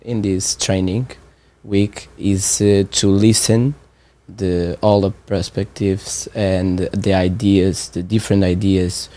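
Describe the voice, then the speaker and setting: A man in his twenties with a Portuguese accent, speaking English as a second language in a casual conversation, halting and searching for words with many fillers. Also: low voice, flat delivery, mellow. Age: 20s. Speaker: training participant.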